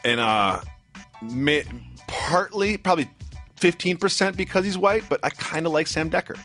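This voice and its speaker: A man co-hosting a sports show, quick and jokey in casual studio talk. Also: fairly high pitch, pushed and bright, faint music behind. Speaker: co-host. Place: radio studio.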